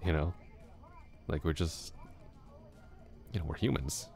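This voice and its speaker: A man voicing a chatty young male classmate in an ASMR roleplay, musing aloud in a soft, rambling way with small chuckles. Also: rumbling low, measured pace.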